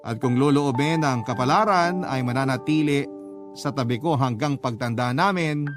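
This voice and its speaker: Man narrating sentimentally over clear music. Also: medium pitch, normal speed. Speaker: radio storyteller narrator. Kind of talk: scripted first-person story narration.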